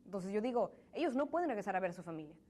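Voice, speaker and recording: A woman's voice: medium pitch, sing-song, rapid-fire, dark tone. A young woman answering interview questions in an earnest, thoughtful way, often restarting her sentences; she grew up in the United States in a Mexican family, and English is her first language. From Mexican family, raised in the United States. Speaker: interview guest. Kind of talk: conversational interview.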